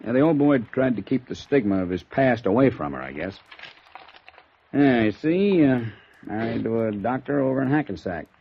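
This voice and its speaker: An actor playing a breezy, slangy police sergeant with an offhand manner in 1950s radio noir style. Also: male voice, medium pitch, sing-song, moderate pace, vocal fry, husky, full character voice. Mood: offhand, businesslike. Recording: dull, noisy vintage radio recording.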